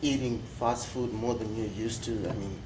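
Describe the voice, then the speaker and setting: A man's voice: mid-range voice, fairly even intonation, moderate pace, background noise. A young man on a student panel, speaking thoughtfully with some hesitation. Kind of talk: casual panel discussion. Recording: echoey room.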